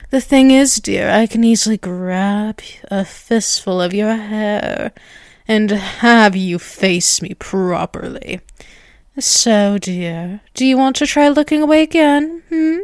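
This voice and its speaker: A woman's voice: mid-range voice, no echo, studio quality. A woman voicing a dominant, ageless vampiress in an ASMR roleplay, teasing and condescending, drawing out her words. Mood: taunting, commanding. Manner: slow, condescending, teasing, with drawn-out words and chuckles. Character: dominant, taunting ageless vampiress toying with a captive human.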